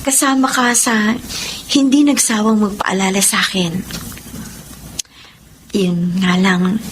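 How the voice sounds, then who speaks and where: A woman's voice: mid-range voice, background noise, natural voice. A Filipino woman acting in a radio drama as a guarded, hurting but polite younger woman.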